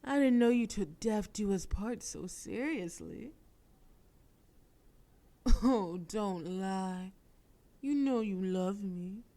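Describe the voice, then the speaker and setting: A bold, teasing female crime boss, voiced by a woman in an audio roleplay. Her delivery is unhurried and mellow. She flirts and jabs playfully. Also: medium pitch, expressive. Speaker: voice actress.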